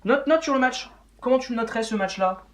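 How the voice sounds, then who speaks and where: A young man hosts a casual football chat. He talks very quickly in an informal, unedited style. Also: very high voice. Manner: chatty, informal. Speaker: host of a football channel.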